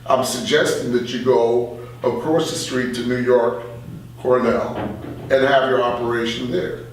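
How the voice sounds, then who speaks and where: A man's voice: mid-range voice, fairly even intonation, a little slow, a little hiss or hum. An older man chatting casually about his views, opinionated and given to anecdote. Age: older, 60s or above. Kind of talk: casual political discussion. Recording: echoey room, distant from mic.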